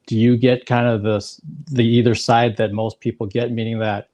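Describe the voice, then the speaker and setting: A man's voice: mid-range voice, flat delivery, moderate pace, darkish tone, highs cut off. A man hosting an interview, asking long rambling questions with hedges and fillers. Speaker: interview host. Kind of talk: casual interview chat.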